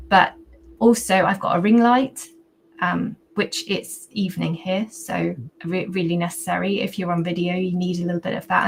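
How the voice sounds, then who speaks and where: A woman's voice: lowish voice, gravelly, slight background noise, rough recording. A woman guest on a podcast interview, giving practical advice into a condenser USB mic at home. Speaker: podcast guest booking agency founder. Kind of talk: casual interview answers. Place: home at evening with ring light. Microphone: condenser USB microphone.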